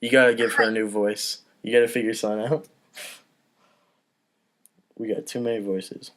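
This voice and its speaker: A man's voice: lowish voice, steady tone, moderate pace, fairly bright tone. A man hosting a casual comedy table read, reading stage directions and giving informal, self-deprecating asides.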